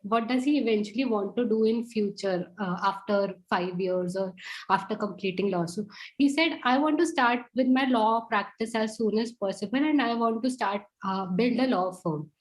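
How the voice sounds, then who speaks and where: A woman hosts an interview and shares personal stories, pausing on fillers as she goes. Her voice sits a little higher than most women's. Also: low-quality recording. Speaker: host. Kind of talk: interview conversation.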